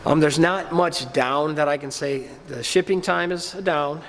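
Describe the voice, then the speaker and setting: A man's voice: fairly high pitch, a little slow, a bit bright, long reverb tail, a little hiss or hum. A man getting over a cold gives a casual, plain-spoken review of a machine in his workshop, with lots of ums. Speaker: small-business maker reviewing his laser cutter.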